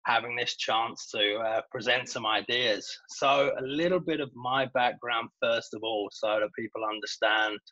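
A British man, a golf coach, giving a measured webinar presentation over a video call, with some hesitations. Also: medium pitch, steady tone, pushed and bright, lo-fi sound. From Britain.

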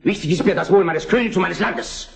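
An actor playing a stern, defiant Prussian minister-president in a historical radio play, with emphatic, declamatory delivery. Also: male voice, high voice, a little fast, gravelly.

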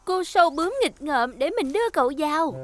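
Give me a high-voiced cartoon girl mechanic. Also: animated, a little fast, faint music behind. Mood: helpful, teasing. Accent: southern Vietnamese. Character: bright, capable, teasing young woman mechanic. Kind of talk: dubbed children's cartoon acting.

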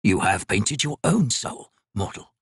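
A man voicing an ancient, sickly male god, speaking slowly and gravely with a sneer. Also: fairly low pitch, big pitch swings, no room sound, studio quality, heavily acted. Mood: mocking.

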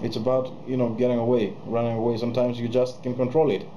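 A man in his thirties, a musician hosting his own channel, talks casually to camera in a small room at night, pausing often with fillers and restarts. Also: mid-range voice, level tone, mellow, muffled recording, a little hiss or hum. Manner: hesitant, many fillers and restarts. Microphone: webcam close to face.

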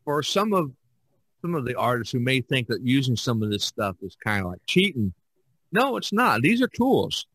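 A man's voice: medium pitch, big pitch swings, moderate pace, fairly bright tone, slightly rough, no room sound. An older man, a podcast host and painter, talking casually in a rambling, explanatory way.

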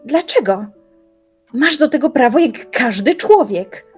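An actress playing a determined, defiant young woman in an audio drama, arguing in measured, formal language. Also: sits high, expressive, breathy edge, faint music behind, exaggerated voice. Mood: probing. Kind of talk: audio drama acting, literary dialogue.

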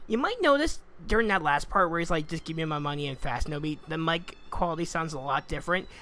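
A woman's voice: sits low, lively intonation, fast talker, fairly bright tone, slight background noise. A woman giving casual, self-deprecating commentary as a fandub creator, swearing freely, recorded at home.

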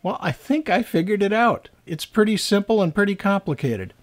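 A man in his sixties, an author and teacher, giving a scripted talk to camera in deliberate, emphatic phrases with pauses. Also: high voice, animated. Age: 60s.

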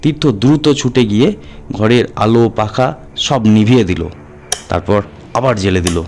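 A man's voice: on the low side, moderate pace, a little mellow. A man reading a horror audio story as a narrator, in a dramatic scripted style. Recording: music under the voice. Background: background music.

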